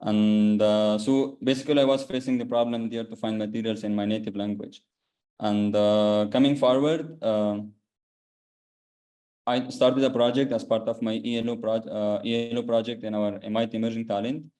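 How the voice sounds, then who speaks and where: A man's voice: fairly low pitch, little pitch movement, normal speed, warm tone. A young man from Afghanistan, a native Persian speaker, presenting his project on a video call with many fillers and restarts. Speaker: program graduate presenting a project. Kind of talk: online presentation.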